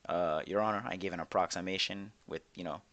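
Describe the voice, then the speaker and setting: Low-voiced man rambling through courtroom testimony. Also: steady tone, brisk, fairly bright tone, muffled recording. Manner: rambling, filler-heavy storytelling. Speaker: roleplayer as a defendant in court. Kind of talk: casual roleplay testimony.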